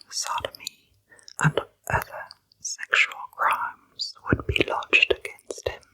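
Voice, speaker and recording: A woman whispers a true crime account for ASMR, close to the mic and unhurried. Her breathy whisper has a crisp, bright edge, and she draws every phrase out very slowly. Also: poor audio. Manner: soft, slow, deliberate whispering throughout. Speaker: ASMR narrator.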